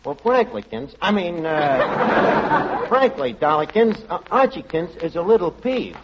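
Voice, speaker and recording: A man's voice: high voice, very animated, measured pace, exaggerated voice. A man playing a blustering, jealous tavern manager who mangles his words in a vintage radio comedy. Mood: peeved. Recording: muffled, very noisy old radio recording with audience.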